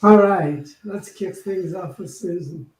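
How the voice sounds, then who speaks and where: A man's voice: high-pitched, unhurried. A man welcoming people informally as a reverend hosting a service. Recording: echoey room, distant mic, faint noise.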